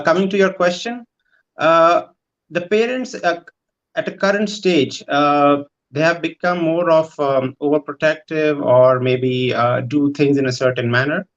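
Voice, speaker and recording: A man giving unscripted answers as a parent panelist, recorded with dull, lo-fi sound. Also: fairly high pitch.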